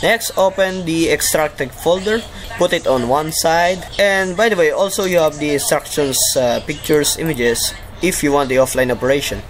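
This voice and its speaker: A man with a Filipino accent narrating a software tutorial in an informal way. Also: on the high side, moderate pace. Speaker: tutorial presenter. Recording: clearly noisy home voice-over recording.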